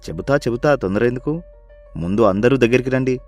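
A man's voice: mid-range voice, a little fast, a little mellow, faint music behind, lightly acted. A man voicing a sly young villager in a cartoon moral story, friendly, polite and conspiratorial. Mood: scheming.

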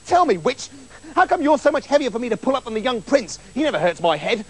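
A man's voice: a little high, very animated, brisk, husky, heavily acted. A man voicing a young captive fairy-tale maiden who talks in a frank, chatty, comically casual way. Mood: irritated.

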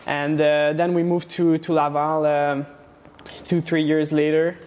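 A young man from Romania, a guest in a studio interview, talking casually with many pauses and restarts. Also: fairly high pitch, little pitch movement, a little mellow. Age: young adult. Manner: hesitant, many fillers and restarts. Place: studio set with plant wall and neon sign. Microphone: lapel mic.

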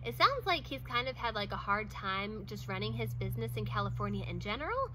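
A woman's voice: mid-range voice, big pitch swings, a little fast, noisy background. A woman in her thirties talking casually to camera outdoors, chatty and filling her talk with "like" and little laughs. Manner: chatty, many "like"s and small laughs. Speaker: vlogger. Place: outdoors in front of a wooden fence.

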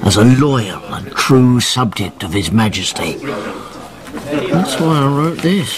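Scheming sailor, mid-pitched, unhurried, insinuating, radio drama, crowded tavern behind. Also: male voice, lively intonation, crowd noise. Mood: sly, conspiratorial. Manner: measured, insinuating. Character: sly, scheming, resentful merchant sailor.

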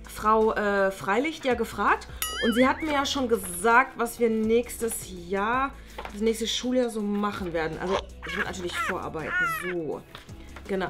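An over-eager, nerdy schoolgirl acted by a young woman in an online comedy sketch. Her voice is fairly high, earnest and fussy, muttering to herself as she plans. Music runs underneath. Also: natural voice. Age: young adult. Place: room indoors, at a table. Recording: music behind the voice.

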